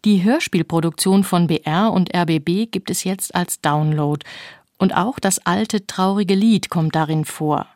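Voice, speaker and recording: Woman, radio presenter, scripted book announcements, lowish pitch, dry close acoustic. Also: unhurried, a little mellow, dry recording. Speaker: radio host.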